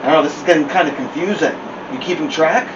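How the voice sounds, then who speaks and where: A man with a high voice reads aloud to children in an expressive, playful way. He drops in chatty asides to his listeners. The mic sounds distant and there is clear noise behind him. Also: quick pace, dull audio. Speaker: host of a children's story show. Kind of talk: animated read-aloud storytelling with chatty asides.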